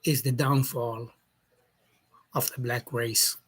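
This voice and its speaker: A man from Jamaica speaking as a commentator in an opinion monologue, slow and deliberate, posing challenging questions. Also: medium pitch, flat delivery, husky.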